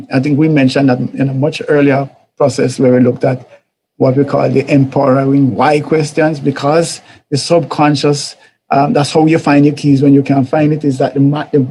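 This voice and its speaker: A man's voice: medium pitch, dark tone, a little hiss or hum. A man with a Jamaican accent giving an informal church teaching talk, conversational and repetitive, often chuckling and acting out quoted voices. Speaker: teacher of a church life series.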